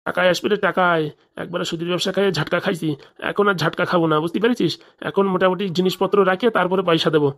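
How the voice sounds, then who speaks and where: A woman voices a money-hungry village father from a comic cartoon, rattling along at breakneck speed in a lowish voice. He blusters and insists in colloquial, speech, always impatient and scheming. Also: steady tone, racing, close to an everyday voice. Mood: determined, blustering.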